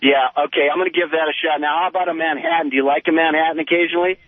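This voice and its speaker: A man's voice: a little high, steady tone, very fast, no room sound. Chummy American man on tinny phone. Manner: chummy, talkative. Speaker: radio show caller. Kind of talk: casual chat. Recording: tinny phone line on radio.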